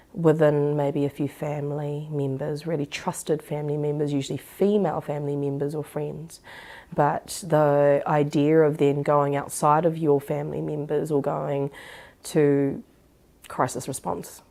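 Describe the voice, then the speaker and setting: A woman's voice: deep voice, gravelly, warm tone. A woman explaining her field in a reflective interview, choosing her phrasing carefully and hesitantly. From Singapore-born, living in Auckland, New Zealand. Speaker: expert on supporting sexual violence survivors.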